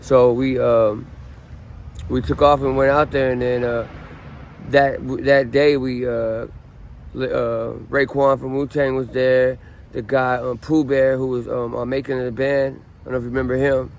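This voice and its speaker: A man's voice: medium pitch, unhurried. A man in his late thirties from Southern California, telling his story in a casual street interview, full of ums and restarts. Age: 30s. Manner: relaxed, rambling, many ums and restarts. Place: outdoors on a city street. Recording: faint street noise outdoors.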